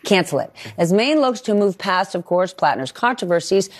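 A woman's voice: mid-range voice, big pitch swings, normal speed. A woman anchoring a cable news panel, introducing guests and bantering with them.

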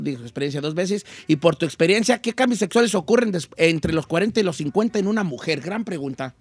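A man's voice: sits high, dry recording. A man chatting casually as a talk show host. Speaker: show host reading listener questions.

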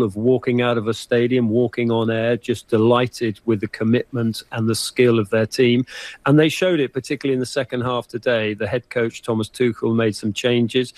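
A man's voice: medium pitch, a little mellow, no room sound. A man with an English accent, a football writer giving analysis as an interview guest, chatty and prone to repeating himself.

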